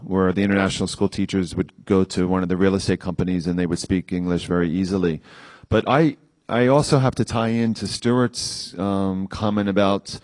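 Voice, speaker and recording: A man speaking as a panelist in a conference discussion, thoughtful and hesitant, with many pauses and fillers. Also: low-pitched, darkish tone, dry recording.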